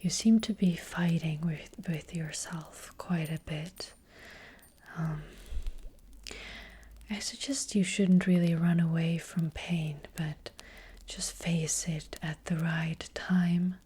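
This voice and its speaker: A woman playing a gentle, hesitant witch fortune teller in an ASMR roleplay, speaking in a breathy, hushed whisper. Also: lowish voice, steady tone, full character voice. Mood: gentle, mysterious. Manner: slow, hushed, hesitant, unhurried. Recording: close-up with faint background noise.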